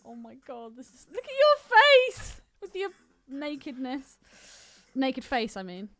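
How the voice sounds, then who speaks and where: High, animated woman chatting on a podcast. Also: measured pace, no room sound, faint music behind. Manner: animated, sweary, exclamatory. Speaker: podcast co-host. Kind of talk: casual chat about a film.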